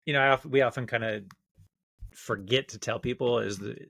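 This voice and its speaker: A man speaks as a doctor co-hosting a casual health discussion. His voice is gravelly with vocal fry and sits at an ordinary pitch. The sound is dry, and he talks in an informal, conversational way. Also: a little fast, a bit bright, no echo.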